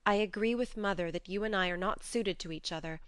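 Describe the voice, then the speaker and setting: Casting: female reader for frank, tender young heroine of a storybook, firm and earnest, crisp tone. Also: medium pitch, a little fast, a bit bright, no room sound, lightly acted. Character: frank, tender but resolute young woman refusing a suitor. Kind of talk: audiobook character voice.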